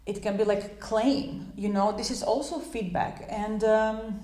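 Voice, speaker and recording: A woman's voice: medium pitch, fairly even intonation, measured pace, mellow, reverberant. Chatty woman, Croatian first language. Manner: chatty, many fillers and restarts. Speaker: English teacher. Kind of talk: informal spoken lesson.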